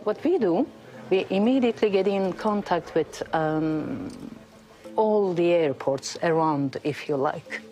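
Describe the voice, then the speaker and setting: A woman giving an unscripted interview explanation as an official, in halting, pieced-together phrases. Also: lowish voice, expressive, dark tone, faint music behind. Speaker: air traffic network official being interviewed.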